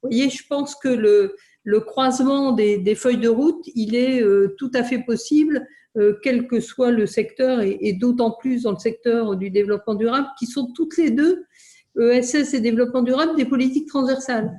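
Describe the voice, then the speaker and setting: A woman who is an elected city official, giving an explanatory answer in an online meeting, with measured, hesitant phrasing. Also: fairly high pitch, dark tone. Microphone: video call.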